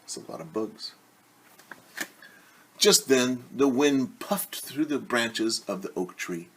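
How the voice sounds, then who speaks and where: A man reading a children's story aloud as a teacher, expressive, with casual asides that explain things simply. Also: medium pitch, slow pace, a little hiss or hum, poor audio.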